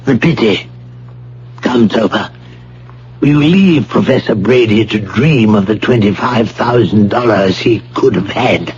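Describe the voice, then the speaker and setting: An actor playing a suave, condescending, menacing art thief and schemer in a radio drama. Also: male voice, on the low side, unhurried, a little mellow, close to an everyday voice. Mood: smug. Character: smooth, menacing self-confessed male art thief and schemer. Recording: dull old radio broadcast with hum.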